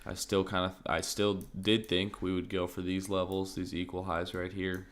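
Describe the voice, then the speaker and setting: A man streaming crypto market commentary in a casual, thinking-aloud way, his voice slightly raspy and often interrupted by throat clearing. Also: low-pitched, normal speed. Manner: relaxed, thinking aloud, many fillers like "like" and "um".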